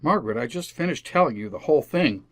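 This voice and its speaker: A man's voice: on the high side, animated, quick pace, a bit bright, no room sound. A man playing an earnest, stubborn and easily flustered suburban father in an old-time radio family comedy. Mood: defensive. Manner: earnest, defensive.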